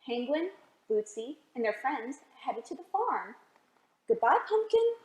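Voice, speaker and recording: A woman reading a picture book aloud for a children's storytime, expressive and often asking the listeners questions. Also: fairly high pitch, a little slow, roomy sound, a little hiss or hum, poor audio. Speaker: library storytime reader.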